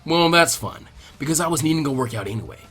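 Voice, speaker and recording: A man voicing a gruff, derisive, smirking ninja instructor in an anime fan story. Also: fairly high pitch, expressive, fast talker, a bit bright, faint music behind.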